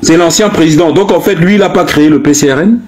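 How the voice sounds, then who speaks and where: A man's voice: on the high side, a little mellow, slight background noise. Insistent fifty-year-old man interrogating. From Cameroon. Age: 50s. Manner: pointed, hammering questions, drawn-out stresses. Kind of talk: heated debate.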